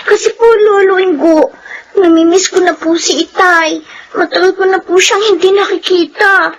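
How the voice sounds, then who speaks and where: Tearful young girl, very high, dull sound, radio play. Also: steady tone, moderate pace. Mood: longing. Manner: pleading, tearful. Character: young girl longing for her father.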